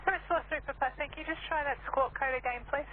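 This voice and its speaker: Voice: high voice, fairly even intonation, fast. An air traffic controller speaking clipped radio phraseology over an aviation radio link. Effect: aviation radio transmission. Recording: narrow-band, noisy air traffic radio.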